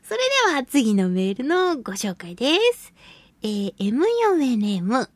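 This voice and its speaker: A woman hosting a casual solo radio talk show, reading listener mail in a playful, giggly, cutesy way with a husky, breathy voice. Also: on the high side, very animated, measured pace, no room sound, clean studio sound. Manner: playful, giggly, slips into cutesy exclamations and quirky old-man phrasing. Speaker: radio show host, voice actress.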